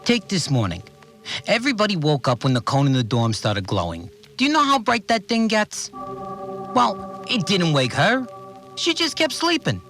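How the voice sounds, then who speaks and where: An actor playing an earnest, aggrieved cleaner who keeps an audio diary in a naive, rambling, complaining way. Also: male voice, high-pitched, wildly swooping pitch, moderate pace, fairly bright tone, soft background music, exaggerated voice. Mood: exasperated, puzzled. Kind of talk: audio drama monologue, conversational recorded log.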